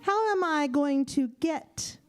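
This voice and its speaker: A woman's voice: high-pitched, takes it slowly. A woman playing a sweet, eager young Cinderella in children's audio theater, earnest, wide-eyed and exclamatory. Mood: pleading.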